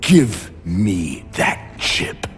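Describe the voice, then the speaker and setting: A man's voice: deep voice, sing-song, extremely slow, heavily acted. An older starship captain, domineering and officious, barking orders in a game drama. His voice sits in long reverb with music behind it. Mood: commanding. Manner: commanding, officious. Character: stubborn, domineering older male warship captain. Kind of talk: dramatic video game cutscene acting.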